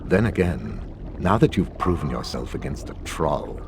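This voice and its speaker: An actor playing a cold, condescending potions teacher with a menacing drawl in a video game. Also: male voice, extremely low pitch, animated, moderate pace, noisy background. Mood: cold, sneering.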